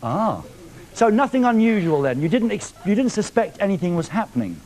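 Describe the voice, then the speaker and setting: Cheeky tv presenter guy, high voice, bouncing all over the place. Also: big pitch swings, brisk, darkish tone, poor audio. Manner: cheeky, teasing, playful. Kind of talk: live TV prank phone call. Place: TV studio.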